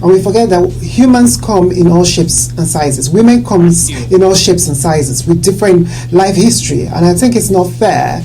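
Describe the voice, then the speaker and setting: A woman hosting a talk show discussion, earnest and quick to agree with her guest. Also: fairly low pitch, mellow, soft background music, low-quality recording. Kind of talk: casual discussion.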